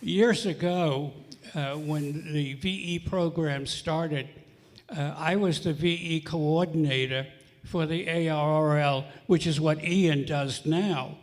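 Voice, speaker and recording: An older man speaking deliberately and informally to a club meeting, explaining things and telling anecdotes. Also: a little high, steady tone. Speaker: club member addressing a radio club meeting. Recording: echoing hall with long reverb.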